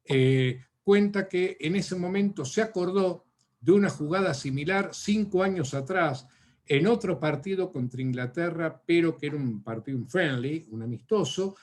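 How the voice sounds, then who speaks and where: Man, Argentine accent, academic seminar talk, pitched a bit high, lots of fillers. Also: normal speed, darkish tone. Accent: Argentine Spanish. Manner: thoughtful, many fillers and restarts. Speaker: academic speaker. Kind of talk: seminar answer to questions.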